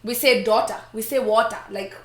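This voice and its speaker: A woman's voice: on the high side, fairly quick, echoey room. A Kenyan woman co-hosting a live stream, chatting casually in English and Swahili with lots of laughter and playful quoting. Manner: animated, laughing, switches into playful voices for quotes.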